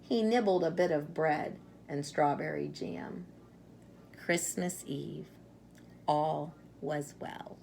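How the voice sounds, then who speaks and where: A woman reads a children's storybook aloud at a very slow pace, in a slightly low voice with a creaky edge. She is expressive, leaning on key words and pausing for young listeners. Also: slight background noise. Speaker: storybook reader.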